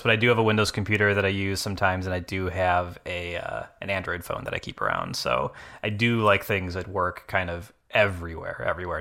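A man appearing as a guest on a tech podcast, relaxed and friendly as he answers questions. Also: low-pitched. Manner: relaxed and friendly, light laughs. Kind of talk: casual interview chat.

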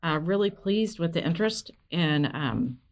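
A woman acting as an account manager with a low-pitched voice. She is upbeat and reassuring, talking a client through results in a businesslike, conversational way, as in an informal radio play. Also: animated, normal speed. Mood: businesslike, pleased. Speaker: project manager at a materials recovery company. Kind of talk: role-played business meeting, conversational.

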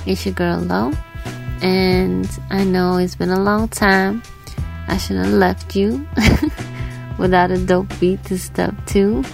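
An American woman hosts a casual podcast in a mid-pitched voice with noticeable creak. Her delivery is informal and slangy, like easy conversation between peers. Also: fairly even intonation, slow pace, over music. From New York, United States. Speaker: podcast host.

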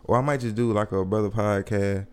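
A young man with a Southern US accent hosting a relaxed podcast. His voice sits fairly low, and he talks in loose slang with frequent restarts. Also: smooth. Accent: Southern US, Atlanta area. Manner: laid-back slang, halting restarts. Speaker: podcast host. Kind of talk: casual chat.

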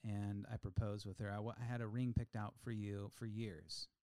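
A man's voice: lowish voice, moderate pace, clear, resonant tone, no echo. Insistent man in his thirties, dry sound. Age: 30s. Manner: animated, insistent storyteller. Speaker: podcast co-host. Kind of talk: casual chat.

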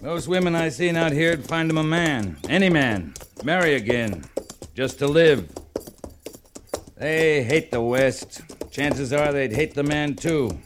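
An actor playing a wry, world-weary cavalry captain in a radio western, speaking in a dry, laconic, sardonic way. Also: male voice, on the high side, animated, takes it slowly. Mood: reflective. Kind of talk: 1950s radio western acting. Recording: noisy old radio recording.

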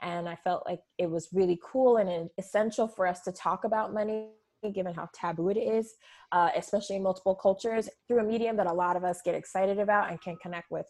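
A young woman from Southern California, a podcast guest talking in a lively, enthusiastic way and laughing often. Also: lowish voice. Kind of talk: casual interview chat.

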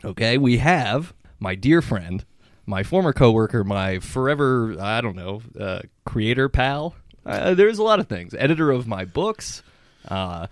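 A man's voice: mid-range voice, sing-song, unhurried, dry recording, studio quality. A man in his forties hosting a podcast, playful and joking, laughing often. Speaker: podcast host. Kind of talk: casual chat.

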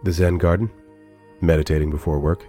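An actor playing a humanlike AI android in a sci-fi audio drama, speaking in formal, deliberate, measured phrases with a proud, wistful air. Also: male voice, extremely low pitch, steady tone, warm tone, faint music behind. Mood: cautious.

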